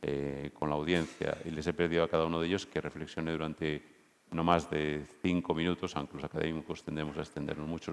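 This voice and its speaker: Male moderator, Spain accent. Very low, fairly even voice, measured delivery, reverberant hall. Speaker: round-table moderator. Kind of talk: semi-formal spoken introduction to a debate.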